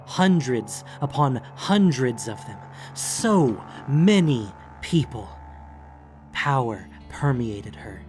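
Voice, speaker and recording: A man narrating a fantasy audiobook in a solemn, dramatic, deliberate manner. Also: medium pitch. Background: music.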